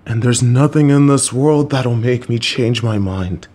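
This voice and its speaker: A man voicing a gentle, awkward, supportive middle-aged father, speaking in a slow, halting way with dad jokes. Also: mid-range voice, slightly rough. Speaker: voice actor playing a father. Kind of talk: audio roleplay.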